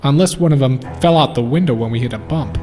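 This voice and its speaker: A man voicing a gruff, wisecracking bus driver in a school radio play. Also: mid-range voice, quick pace, warm tone, long reverb tail, soft background music, close to an everyday voice. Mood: wry. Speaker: teacher acting.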